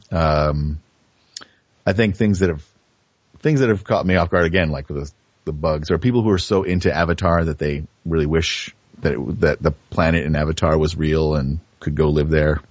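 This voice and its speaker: A man chatting casually as an interview guest, halting, offhand and frank. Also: low-pitched, steady tone, no echo, lo-fi sound. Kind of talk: casual chat.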